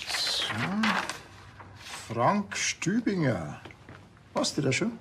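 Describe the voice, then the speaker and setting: A man's voice: a little high, huge pitch swings, very slow, a bit bright, slight background noise, exaggerated voice. An actor playing a sardonic, bossy small-town policeman with a Bavarian accent, dry and curt, in a TV crime comedy. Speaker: police officer.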